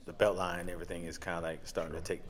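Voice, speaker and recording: A man from Pennsylvania, a DJ guest in a casual interview, talking in a relaxed, rambling way with lots of fillers. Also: low-pitched, racing. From Pennsylvania, USA. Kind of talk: casual conversation.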